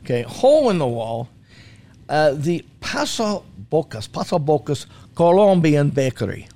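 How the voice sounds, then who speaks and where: A man's voice: fairly high pitch, sing-song, unhurried, warm tone. A man chatting casually as a food commentator on a talk show, in a jokey, self-deprecating way, repeating himself for emphasis. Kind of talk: casual talk radio chat.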